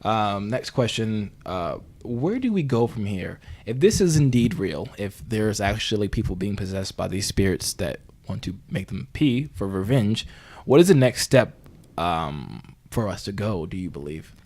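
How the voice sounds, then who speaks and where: A man playing a bumbling, earnest talk-show host in an improvised comedy interview, with halting, rambling phrasing. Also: fairly low pitch, expressive, a little mellow. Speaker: podcast host.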